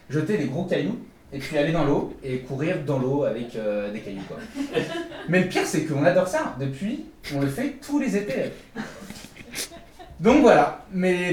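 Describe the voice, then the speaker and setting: A man telling comic stories on a podcast with a light, fairly high voice. He jokes at his own expense and laughs a lot. The room sounds echoey, and he sits back from the mic. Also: a little mellow, far from the mic. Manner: jokey, self-mocking, laughs often. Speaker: podcast co-host. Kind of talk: casual comic storytelling.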